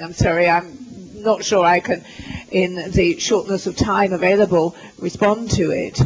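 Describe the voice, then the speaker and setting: A man interpreting live at a university lecture, halting often with fillers and restarts as he renders the speakers' words. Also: sits high, darkish tone, a little hiss or hum, rough recording. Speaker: simultaneous interpreter. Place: lecture hall.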